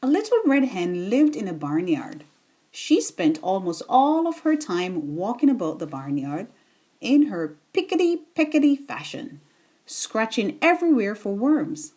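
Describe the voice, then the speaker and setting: A woman reading a children's bedtime story aloud in a deliberate, expressive storytelling manner. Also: medium pitch.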